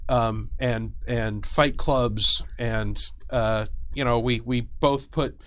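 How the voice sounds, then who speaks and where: A man's voice: mid-range voice, fairly even intonation, slow, fairly bright tone. A man speaking as an expert guest, explaining fictional game lore casually, in detail and with a wry manner. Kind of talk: casual explanatory interview talk.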